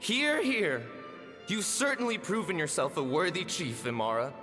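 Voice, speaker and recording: A fairly high male voice, swinging widely with feeling, plays a loyal, righteous younger brother in a fantasy game. He is intense and full of wounded reproach. His words ring in long reverb. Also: sing-song, fairly quick, fairly bright tone. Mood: bitter, reproachful. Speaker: voice actor in a video game. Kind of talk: dramatic game dialogue. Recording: long reverb, music underneath.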